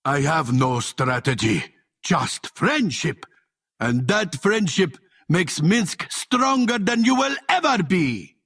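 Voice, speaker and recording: An actor playing a big, simple-hearted heroic ranger in a fantasy game, earnest and boisterous, speaking of himself in the third person. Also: male voice, on the high side, sing-song, slow pace, fairly bright tone, slightly rough, heavily acted. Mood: proud, loyal. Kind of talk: cartoonish fantasy game voice acting.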